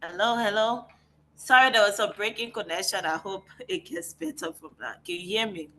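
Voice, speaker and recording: A woman's voice: mid-range voice, normal speed, fairly bright tone. A woman with a Liberian accent, speaking as a facilitator on a video call. Her manner is friendly and upbeat. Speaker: facilitator and climate negotiator. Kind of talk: online presentation. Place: noisy spot on a call.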